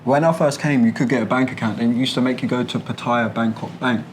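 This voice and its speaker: A man's voice: mid-range voice, fairly even intonation, brisk, slight background noise. A man with a London accent talking candidly in an interview, colloquial and explanatory. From London, England. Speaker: interviewee, British expat. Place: a condo apartment.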